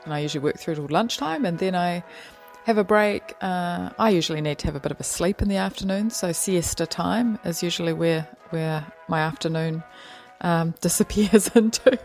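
A woman's voice: low voice, darkish tone. A woman, rambling playfully in a casual monologue and laughing often. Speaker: lockdown diarist.

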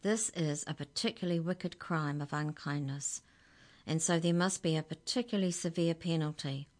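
A woman voicing a talking tooth who is a stern judge, grave, formal and measured. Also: close to an everyday voice. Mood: severe. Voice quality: deep.

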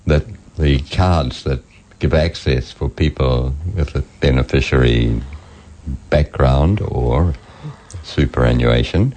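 A man's voice: a bass voice, slow, darkish tone, poor audio. A man interviewing a guest, asking questions in formal, wordy phrasing.

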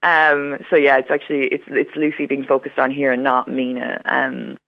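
A woman talks as a guest on a radio show, chatty and enthusiastic. Her voice has a gravelly fry to it. The line is a muffled, dry phone connection. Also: rumbling low, level tone, normal speed, no echo. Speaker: radio interview guest, Irish studies academic. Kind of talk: casual radio interview chat. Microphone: phone call.

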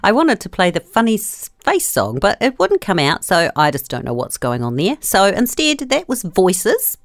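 A woman's voice: medium pitch, huge pitch swings, moderate pace, no room sound, clean studio sound. A woman in her fifties hosting a children's radio show, chatty and playful. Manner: chatty, playful, sing-song. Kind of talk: casual presenting to children.

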